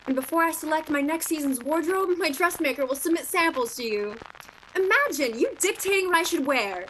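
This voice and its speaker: A high, swooping voice for a defiant young shopgirl played by a woman in a radio play. She is proud and sarcastic, with a bright, cutting edge to her indignant delivery. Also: expressive, a little fast, a little hiss or hum, poor audio. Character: proud, sharp-tongued, defiant young female shop clerk. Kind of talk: virtual radio play acting.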